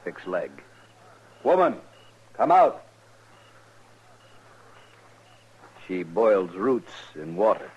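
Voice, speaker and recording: A man's voice: low voice, sing-song, slow, breathy edge, exaggerated voice. An actor playing a stoic, loyal runaway man of few words in a 1950s radio western, speaking in clipped, broken-English phrases. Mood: commanding, calm. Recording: muffled, noisy 1950s radio recording.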